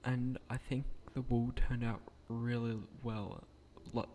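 Quiet croaky young man, gravelly. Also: mid-range voice, flat delivery, takes it slowly, slight background noise. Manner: hesitant, rambling, with frequent restarts; speaking quietly at night. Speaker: gaming channel creator. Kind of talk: casual voice-over narrating a game build.

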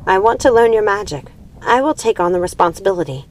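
A woman's voice: mid-range voice, animated, quick pace, voice pushed up, close to an everyday voice. A woman voicing a dutiful, ambitious young witch daughter in an earnest, solemn manner for a storybook. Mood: resolute.

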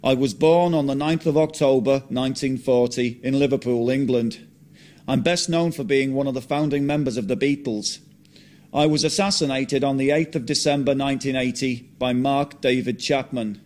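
A man voicing a man revived from the dead who breaks from composure into shouting rage. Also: mid-range voice, moderate pace, close to an everyday voice. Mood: composed. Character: grieving, furious man revived from death.

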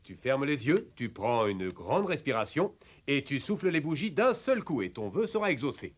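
Measured, kindly gentleman with mid-pitched voice. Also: big pitch swings. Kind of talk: French cartoon dubbing.

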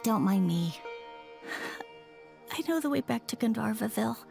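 A shy, gravelly little boy. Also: fairly high pitch, lively intonation, moderate pace, dark tone, faint music behind. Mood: timid. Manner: timid, hesitant, polite. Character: shy polite little village boy, sad but brave. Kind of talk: game cutscene dialogue.

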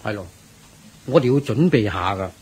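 An actor playing a courteous, attentive temple organiser in a radio drama, speaking politely and deliberately. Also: male voice, lowish voice, lively intonation, darkish tone, slightly rough. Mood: considerate. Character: courteous, attentive man helping host a temple gathering. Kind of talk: Cantonese radio drama acting.

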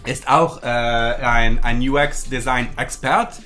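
A man in his forties hosting a livestream from a dark studio, speaking hesitant German full of fillers and restarts. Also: mid-range voice, fairly even intonation, slow, soft background music. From United States. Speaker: host.

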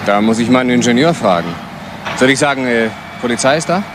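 A man's voice: mid-range voice, fast talker, background noise, natural voice. Sardonic, bitter son played by a male actor. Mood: cool, obliging. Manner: mocking, insinuating, with rhetorical questions. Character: bitter, sardonic adult son of bourgeois parents. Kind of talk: German crime radio play acting.

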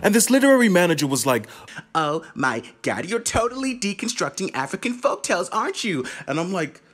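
Man acting as frustrated male playwright, sardonic, profane, confessional, emphatic, radio play monologue, very animated pitch. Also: fairly quick, exaggerated voice. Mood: exasperated, ranting. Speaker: student actor.

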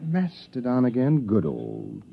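A man acting a wry, adventurous time traveler in a 1950s radio drama, with a husky voice. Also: mid-range voice, wildly swooping pitch, measured pace, a little hiss or hum, exaggerated voice. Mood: fond, wistful. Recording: dull, lo-fi old radio recording.

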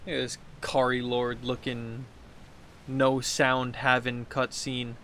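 A man's voice: mid-range voice, monotone, slow, fairly bright tone. A man in his thirties giving casual, rambling game commentary, talking to himself and reading quest text aloud. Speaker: gaming video host. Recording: clear background noise, game ambience.

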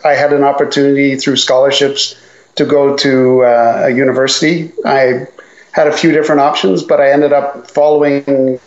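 A man from Newfoundland, Canada, tells his story as a podcast guest at an easy pace, pausing often with fillers. His voice sits mid-range, and it reaches the ear through a roomy, somewhat dull recording. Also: medium pitch. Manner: relaxed storytelling with many fillers. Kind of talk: casual interview talk.

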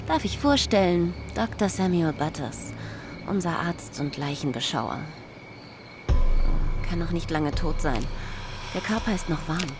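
An actress playing a brisk, capable woman sheriff in a radio play. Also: on the low side, animated, over music.

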